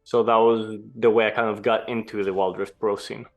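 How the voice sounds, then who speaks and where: A young man from Greece, a former pro gamer, answering interview questions in a relaxed, explanatory way. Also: fairly low pitch, a little fast, lo-fi sound, soft background music. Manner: relaxed, explanatory, some restarts.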